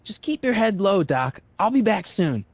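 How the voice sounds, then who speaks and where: A man's voice: high voice, sing-song, normal speed, no echo, heavily acted. An actor playing a curious American teenage boy in a video game, talking casually and asking questions as a puzzled sidekick. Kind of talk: scripted video game dialogue.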